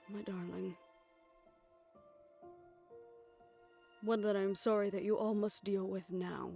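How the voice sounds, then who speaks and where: Woman playing composed aunt, mid-range voice, formal wording, authoritative, measured, audio roleplay with light music. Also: mid-range voice, darkish tone, lightly acted. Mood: weary regret.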